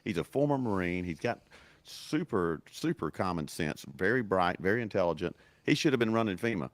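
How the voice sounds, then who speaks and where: Deep-voiced man in casual podcast chat. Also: animated, a little fast, dry recording. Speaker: podcast co-host.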